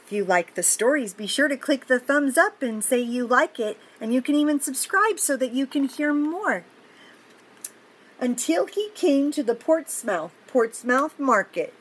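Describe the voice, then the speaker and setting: An older woman reading a picture book aloud to children, pausing for simple, encouraging chatty asides about the pictures. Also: high voice, expressive, fairly bright tone, breathy edge, low-quality recording. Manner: encouraging, explains pictures simply to young listeners.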